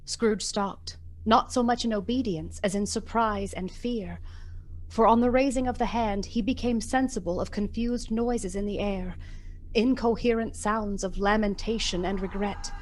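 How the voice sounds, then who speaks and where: A woman giving measured, expressive scripted narration as the storyteller of a radio drama. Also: mid-range voice.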